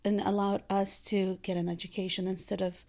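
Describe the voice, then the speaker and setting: A woman from Afghanistan in her thirties, a guest speaking in a casual interview with many pauses and fillers. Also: medium pitch, level tone, darkish tone. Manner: hesitant, many ums and restarts. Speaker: guest, rug business founder.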